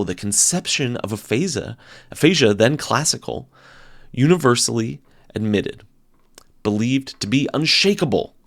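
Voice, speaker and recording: A man giving a dramatic, deliberate reading of a philosophical text, with theatrical phrasing and emphatic pauses. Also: medium pitch, no room sound, studio quality.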